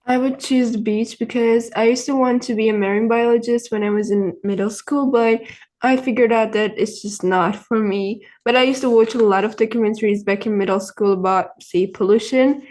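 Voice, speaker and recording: A woman's voice: a little high, fairly even intonation, moderate pace, darkish tone. Earnest teenage girl from Turkey. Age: teens. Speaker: student presenter.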